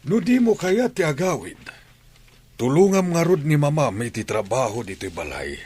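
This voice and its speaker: A man acts as an older, reasoning father in a radio drama. He has a husky, expressive voice and an Ilocano accent. The sound is close and dry. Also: on the high side, normal speed, no echo. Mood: reasoning, firm.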